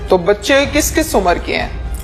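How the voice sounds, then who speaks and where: A woman's voice: medium pitch, expressive, moderate pace, over music. A woman questioning someone, persistent, sarcastic and scolding. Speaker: interviewer. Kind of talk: probing interrogation.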